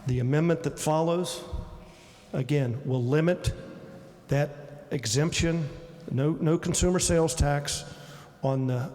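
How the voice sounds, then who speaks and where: A man legislator giving a measured, explanatory floor speech with frequent fillers. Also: mid-range voice, darkish tone, slight background noise. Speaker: state delegate. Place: legislative chamber. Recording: long hall reverb, faint ambience.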